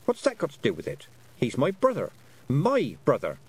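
A man's voice: a little high, wildly swooping pitch, normal speed, vocal fry, husky, voice pushed up, full character voice. A man voicing a stubborn young girl in a storybook reading, blunt, accusing and insistent. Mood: indignant. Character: stubborn, defiant young girl witch Tiffany. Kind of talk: audiobook character voice.

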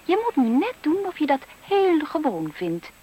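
An actress playing a bossy, scheming young maidservant in a brisk, theatrical 1970s Dutch radio fairy tale. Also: female voice, high voice, wildly swooping pitch, dark tone. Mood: urgent, bossy. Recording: vintage radio recording with background noise.

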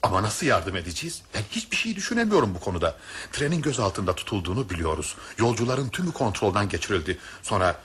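A man's voice: fairly low pitch, sing-song, fast talker, fairly bright tone. A man playing a well-meaning but doubtful, anxious train passenger in a Turkish radio play, speaking emphatically and repeating phrases. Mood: anxious pleading. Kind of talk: Turkish radio theatre acting. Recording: noisy old radio drama recording.

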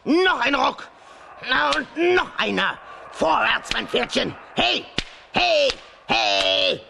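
A man playing a gaunt, taunting old witch woman in a children's radio play, with a creaky, sharp voice. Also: very high-pitched, wildly swooping pitch, slow, background noise, heavily acted. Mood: frenzied shouting. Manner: taunting, commanding.

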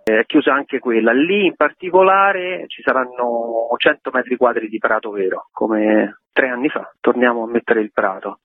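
A man's voice: medium pitch, moderate pace, hardly any pauses, husky, dry recording. A man chatting informally in a radio interview as an event organizer, in loosely strung phrases. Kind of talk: casual conversational radio interview. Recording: tinny, phone-like radio interview line.

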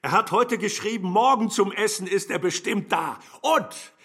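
An actor playing Mr Weston, a cheerful, eager older gentleman with a husky voice and an excited, emphatic manner. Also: high-pitched, normal speed, heavily acted. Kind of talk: German radio play acting.

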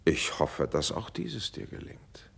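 Deep, measured, authoritative prince. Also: male voice, wildly swooping pitch, creaky, full character voice. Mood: calmly commanding. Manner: measured, commanding, courtly. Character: calm, authoritative prince, fatherly mediator of quarrels. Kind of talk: classical verse stage acting for radio.

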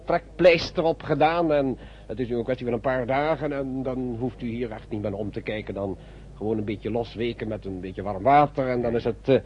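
An actor playing a jovial, chatty doctor with a husky voice and an effusive manner in a radio play. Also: male voice, medium pitch, fairly quick, slight background noise, lightly acted. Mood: jovial, pleased. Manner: effusive, repeats little tag words. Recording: dull old radio recording.

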